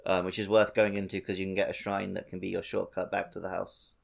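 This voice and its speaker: A man's voice: low-pitched, little pitch movement, fast, creaky. A man co-hosting a podcast, casually discussing a video game and giving his own take. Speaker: podcast co-host.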